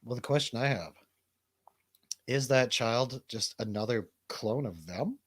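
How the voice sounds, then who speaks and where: American male radio DJ, mid-pitched, rambling comic banter, casual and profane, recorded very dry. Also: lively intonation, a little slow, husky, no echo. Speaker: DJ co-hosting a comedy radio show.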